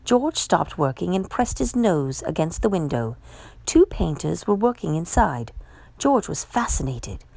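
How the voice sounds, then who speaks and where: A woman reading a children's picture book aloud as a storyteller, with expressive, measured delivery. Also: lowish voice, gravelly, no echo. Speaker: storytime reader.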